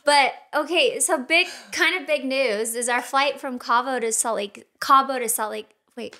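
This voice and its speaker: Higher-voiced woman co-host, casual and chatty, laughs a lot. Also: normal speed, pushed and bright. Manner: chatty storytelling, laughs often. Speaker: podcast co-host. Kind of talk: casual chat.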